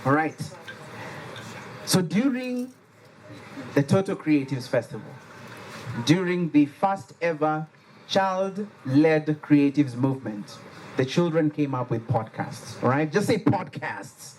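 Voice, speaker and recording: Man, Liberian accent, slightly high voice, playful call-and-response workshop leader. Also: slow pace, long pauses, slight background noise, rough recording. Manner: animated, playful, has the audience repeat words. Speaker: workshop facilitator. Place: workshop room with a live audience.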